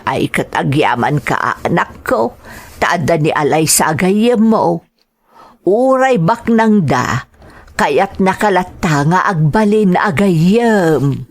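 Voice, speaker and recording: Low-voiced Ilocano mother. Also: lively intonation, takes it slowly, exaggerated voice. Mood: gently advising. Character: caring middle-aged mother of a student son. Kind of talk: radio drama acting.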